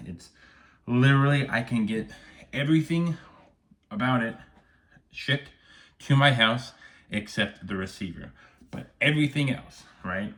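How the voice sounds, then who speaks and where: Man, low voice, casual vlog, rambling, keeps saying okay, camera audio, home office. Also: a bit bright. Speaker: firearms YouTuber. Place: messy home office room. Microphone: no external mic, camera audio.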